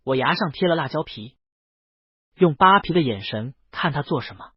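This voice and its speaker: A man's voice: on the high side, lively intonation, moderate pace, breathy edge, no room sound, lo-fi sound. A man voicing a playful, easygoing male college student in a casual, offhand audiobook dialogue style. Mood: mock indignant.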